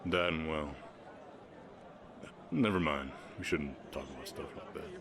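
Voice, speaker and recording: A man with an American Southern accent voicing a gentle, shy, gruff orc ranch hand in a romantic western audio roleplay, speaking haltingly and protectively. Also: very deep voice, slight background noise, full character voice. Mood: shy, fond. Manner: bashful, halting, softly protective.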